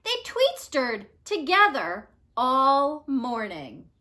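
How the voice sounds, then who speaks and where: A woman narrating a children's storybook aloud in an expressive, measured way with dramatic emphasis. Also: sits high. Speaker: storybook reader.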